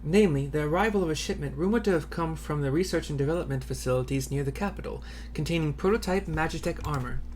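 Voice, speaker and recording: Man reading as a formal, earnest army officer from a game. Raised pitch, measured delivery, light noise. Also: a little mellow, lightly acted. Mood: formal, matter-of-fact. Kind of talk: amateur read-aloud of game dialogue.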